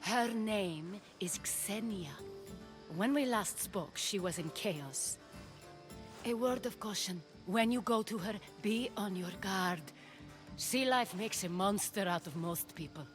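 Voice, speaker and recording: Actress as a poised, shrewd society hostess. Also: female voice, mid-range voice, slow, faint music behind, lightly acted. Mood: discreet, knowing. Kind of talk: video game dialogue acting.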